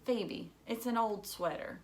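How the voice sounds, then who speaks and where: A woman's voice: a little high, a little slow, a little hiss or hum, close to an everyday voice. A woman voicing a worried father in a children's novel, firm and reasoning with his child. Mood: dismissive. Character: worried, firm but resigned father of a schoolgirl.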